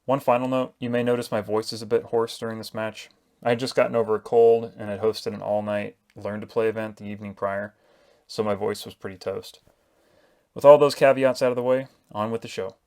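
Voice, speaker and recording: A man's voice: on the low side, little pitch movement, fairly quick, darkish tone. A man hosting a tabletop miniatures channel, narrating a prepared introduction to a game. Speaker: host of a miniatures battle report channel.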